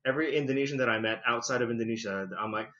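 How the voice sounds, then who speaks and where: A man's voice: mid-range voice, rapid-fire. Chatty man on a dull lo-fi recording. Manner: chatty, lively, leans on "like" and "you know". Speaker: podcast guest. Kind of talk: casual conversational storytelling. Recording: dull lo-fi podcast audio.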